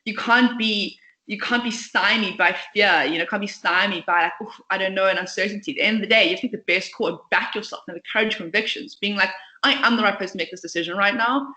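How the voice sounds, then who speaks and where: Fast-talking, bright-voiced young woman guest. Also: mid-range voice. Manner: passionate, chatty, outspoken. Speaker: CEO and co-founder, guest. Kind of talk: podcast interview. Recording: echoey room.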